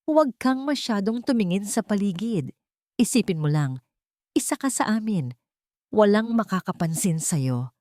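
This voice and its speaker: A woman's voice: mid-range voice, expressive, normal speed, darkish tone, no echo, studio quality, pitched above the performer's own voice, natural voice. A woman voicing an anxious, protective female friend giving bossy, urgent warnings in an audio drama. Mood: hushed urgency.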